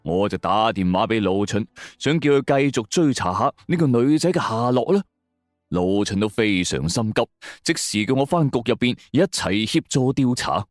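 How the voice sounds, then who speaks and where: A man's voice: on the low side, animated, normal speed, no room sound, clean studio sound. A man with a Cantonese accent narrating a suspense story in the first person, in a dramatic scripted style. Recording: music bed under the voice.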